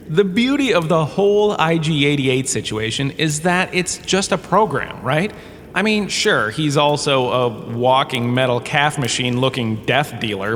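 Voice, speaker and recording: A man's voice: fairly high pitch, fairly bright tone, exaggerated voice. A man playing a glib, self-amused washed-up drama star giving a wry, conversational comedic lecture with theatrical emphasis. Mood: excited, wry. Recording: echoey cave-like reverb, ambient noise behind.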